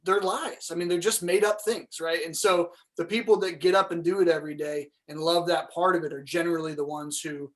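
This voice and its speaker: A man's voice: fairly high pitch, steady tone, a little fast, hardly any pauses. A man on a panel giving casual, motivational advice on a video call, emphatic and checking in with listeners.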